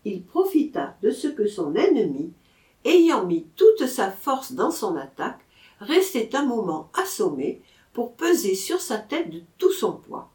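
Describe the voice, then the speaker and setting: Woman, audiobook narrator, literary, deliberate, very varied intonation, medium pitch, roomy echo. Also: sing-song, a little mellow. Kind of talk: expressive literary story narration. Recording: roomy, echoing room sound.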